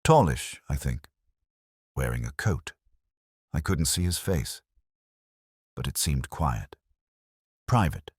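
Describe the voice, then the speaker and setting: A man acts out a prim gossip, a woman telling her news in an audio mystery. She is fluttery and self-important, swooping up and down with breathy relish. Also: very deep voice, big pitch swings, takes it slowly, pauses often, no room sound, studio quality, exaggerated voice. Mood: conspiratorial. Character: fluttery, prim, gossipy female village busybody.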